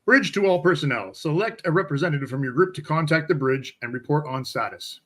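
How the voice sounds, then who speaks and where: A man's voice: a little high, expressive, a little fast, a bit bright. A man playing a composed, formal starship officer in an amateur radio play. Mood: commanding.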